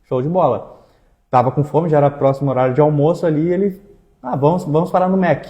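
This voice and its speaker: Mellow southern Brazilian man, mid pitch. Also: fast, muffled recording. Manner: colloquial, uses slang and frequent tags. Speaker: exchange agency staff member co-hosting a talk. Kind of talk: casual conversation, personal anecdotes.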